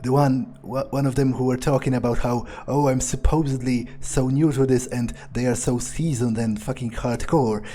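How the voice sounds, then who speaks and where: A man's voice: mid-range voice, fairly even intonation, moderate pace, few pauses, mellow, natural voice. A man playing a callous, foul-mouthed vampire in character during a video game, sneering and improvising with hesitations. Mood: amused, musing.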